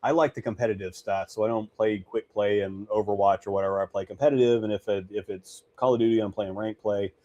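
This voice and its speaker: A man in his mid-forties with a naturally deep voice talks in a loose, easygoing way. He rambles and restarts his sentences often. He is a guest chatting over a call. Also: fairly even intonation, fast talker, few pauses, warm tone, muffled recording. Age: 40s. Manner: rambling, self-correcting, easygoing. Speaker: guest bowling ball reviewer. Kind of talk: casual chat.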